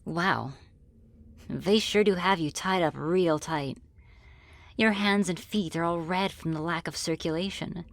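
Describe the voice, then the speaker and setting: A woman's voice: on the low side, unhurried. A woman voicing a friendly, teasing female demon in an ASMR roleplay, with casual, politely menacing delivery. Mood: gently teasing. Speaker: roleplay voice performer. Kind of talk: ASMR roleplay, scripted one-sided dialogue.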